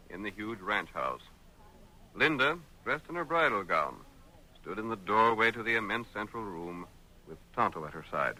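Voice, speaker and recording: A man's voice: fairly low pitch, sharp tone, low-quality recording. An American man narrating a Western story as a dramatic storyteller in old-time radio style. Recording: vintage radio drama recording.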